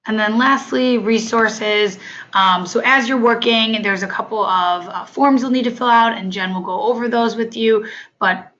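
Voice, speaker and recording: A woman who has lost her voice from illness, leading an online training webinar as a program coordinator, speaking haltingly with many fillers. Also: fairly high pitch, a bit bright, lo-fi sound. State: hoarse from illness. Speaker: off-campus study coordinator.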